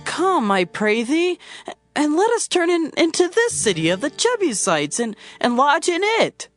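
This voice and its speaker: A woman's voice: high-pitched, very animated, a little slow, soft background music. A woman voicing a young male servant in a dramatized scripture reading, earnestly entreating his master in archaic English. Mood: anxious urging.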